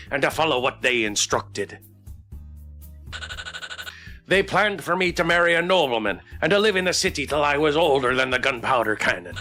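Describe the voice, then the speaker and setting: A man's voice: a little high, pushed and bright. A man voicing a swaggering, teasing, big-hearted drunk pirate woman from a game, in hearty theatrical pirate dialect. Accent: stage pirate.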